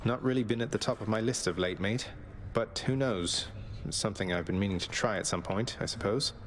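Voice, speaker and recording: A man's voice: lowish voice, a little fast, lightly acted. A man playing a wry prince. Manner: laid-back, wry, polite. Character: young defiant prince of the underworld.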